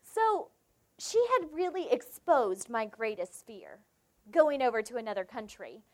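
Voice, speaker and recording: A young woman with a South Carolina Southern accent preaches in a high, light voice. She tells a personal story, acting out the dialogue in it before turning to scripture. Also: very animated, measured pace, darkish tone. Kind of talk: devotional sermon with personal story.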